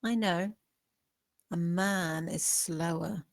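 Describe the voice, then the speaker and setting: A woman plays a young huntress in a film drama, steady and defiant. Her voice is lowish and quiet, recorded dry. She speaks firmly, in measured words. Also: creaky, dry recording. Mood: steely. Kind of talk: table read of a film script.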